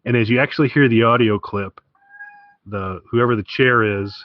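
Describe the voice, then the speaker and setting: A man's voice: mid-range voice, moderate pace, no echo, dull audio. A man co-hosting a podcast, chatting casually with an amused, wry, conversational manner. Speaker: podcast co-host.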